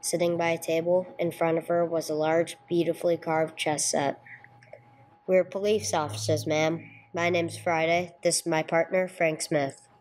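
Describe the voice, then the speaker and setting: A woman's voice: low voice, little pitch movement, normal speed, a little mellow, natural voice, rough recording. A woman playing a no-nonsense police sergeant who narrates a case in a radio play, clipped and matter-of-fact. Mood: terse, dry. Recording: clear background noise, room ambience.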